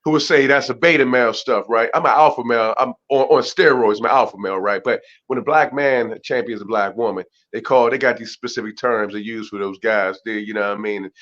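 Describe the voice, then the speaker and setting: A man with a deep voice who talks emphatically and preachily as an interview guest, often tagging his points with "right?". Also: a little fast, hardly any pauses, a bit bright, highs cut off. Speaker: guest, boxer and community mentor. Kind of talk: casual interview talk.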